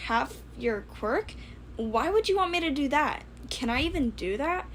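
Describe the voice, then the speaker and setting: A woman's voice: sits high, expressive, a little slow, a little hiss or hum. A woman voicing an earnest, quirky, skeptical teenage boy gadget builder, chatty and questioning, in a story reading. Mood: earnest, wary.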